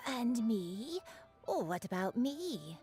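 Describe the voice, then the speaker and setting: A woman's voice: a little high, big pitch swings, slow pace, darkish tone, faint music behind. A woman voicing a forgetful, childlike granny who looks young, wandering and dreamy, in a game. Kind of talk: game voice acting.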